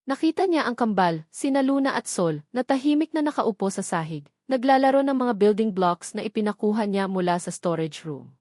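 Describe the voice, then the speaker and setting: A woman's voice: mid-range voice, lively intonation, a little fast, darkish tone, no echo. Woman narrating a drama in a dry, close sound. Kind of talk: scripted storytelling narration.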